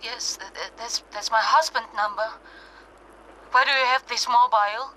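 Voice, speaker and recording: A woman's voice: fairly high pitch, fairly even intonation, normal speed, pushed and bright, slight background noise, poor audio. A German actress playing an anxious wife in a radio drama, pleading urgently for her missing husband. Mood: startled, confused. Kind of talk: naturalistic radio drama acting.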